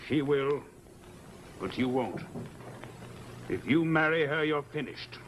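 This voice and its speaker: An actor playing a jealous, haughty stage-actor turned sorcerer in an old-time radio horror drama, with ominous, theatrical delivery. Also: male voice, fairly high pitch, lively intonation, takes it slowly. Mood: cold menace. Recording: noisy old radio recording.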